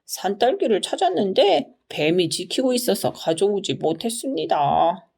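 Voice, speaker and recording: Woman plays quick-witted folktale son of a servant, mellow, courteous, composed, with sly cheek. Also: mid-range voice, big pitch swings, creaky. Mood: slyly polite. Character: clever, cool-headed servant's son, polite and cheeky. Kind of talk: storybook character reading.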